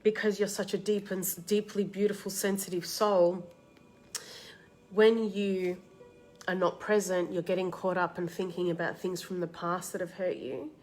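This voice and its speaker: Woman, mild cold, sniffly, soft reassuring card reader, live stream, faint music behind. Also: lowish voice, level tone, moderate pace, rough recording. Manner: soft, reassuring, greets viewers by name. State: a bit of a cold, sniffly with a tickly throat. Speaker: card reader and meditation host. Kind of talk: live-stream card reading with gentle advice. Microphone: live-stream setup.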